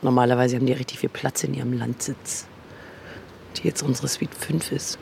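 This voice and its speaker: Low, creaky-voiced woman narrating casually. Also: steady tone, moderate pace, a little mellow. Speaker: hotel chambermaid guiding a project. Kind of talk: casual on-location narration and chat. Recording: faint background noise.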